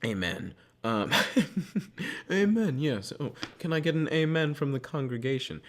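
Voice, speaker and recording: A young man in his late teens co-hosting a podcast among friends, talking in an excitable, rambling way with mock-preachy jokes. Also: medium pitch, a little slow, a little hiss or hum. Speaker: podcast co-host. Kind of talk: casual chat among friends.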